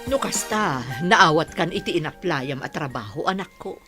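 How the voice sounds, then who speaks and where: A woman with a Filipino accent playing a proud, nurturing village mother in a radio drama, with a husky voice. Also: low-pitched, expressive, moderate pace, over loud music. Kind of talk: Ilocano radio drama acting.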